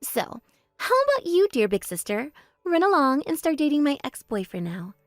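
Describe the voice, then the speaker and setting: A woman's voice: high voice, sing-song, moderate pace, no room sound, studio quality, faint music behind. A woman voicing a bratty, boastful younger sister who mocks and brags in a voice drama. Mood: smug. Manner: mocking, spiteful bragging. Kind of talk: voice drama, one performer.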